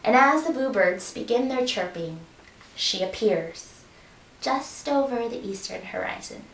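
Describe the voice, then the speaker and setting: A woman telling a folktale to young children in a slow, expressive way. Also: on the high side, a little hiss or hum. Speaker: storyteller. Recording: roomy echo, distant mic.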